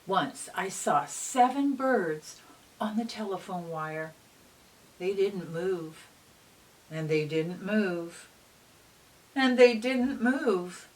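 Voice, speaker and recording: A woman reading a picture book aloud to young children in a gentle, teacherly manner, often pausing to put questions to them. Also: mid-range voice, expressive. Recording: distant mic with faint hiss.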